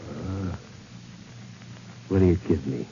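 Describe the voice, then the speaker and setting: An actor playing a powerful, dim-witted thug with a menacing simple drawl in 1940s radio drama style. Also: male voice, low-pitched, slow, creaky, warm tone, a little hiss or hum, exaggerated voice. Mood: suspicious, menacing. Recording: muffled old 1940s radio recording.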